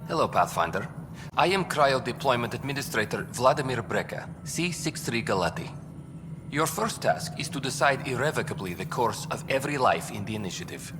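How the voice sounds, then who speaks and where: A man's voice: mid-range voice, animated, brisk, close to an everyday voice. A man playing a solemn, theatrical colony bureaucrat in a video game, making formal, grandiose pronouncements. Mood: grandly solemn. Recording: reverberant, clearly noisy background.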